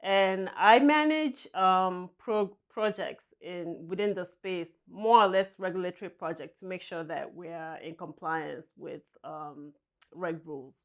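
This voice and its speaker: A woman from Nigeria answering interview questions as a guest, soft-spoken and hesitant, often laughing lightly. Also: on the low side, unhurried. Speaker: guest, senior vice president.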